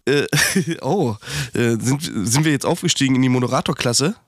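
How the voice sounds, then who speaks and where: A man's voice: medium pitch, animated, normal speed, dry recording, clean studio sound. A man co-hosting a casual podcast about heating repair, chatty and swearing, talking with a slight cold. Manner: chatty, swearing, rambling with restarts. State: has a cold. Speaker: podcast co-host, heating technician.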